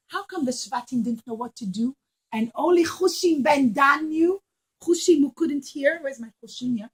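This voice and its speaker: A woman teaching young children a religious story in an expressive way, acting out the dialogue and putting questions to her listeners. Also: sits high, poor audio. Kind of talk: storytelling puppet show lesson for young children.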